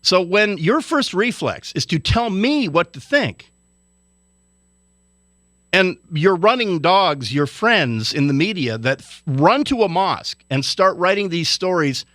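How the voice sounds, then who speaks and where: A man's voice: sits high, big pitch swings, unhurried, a bit bright, dry recording, studio quality. A man from Hawaii delivering an indignant, lecturing radio commentary rant.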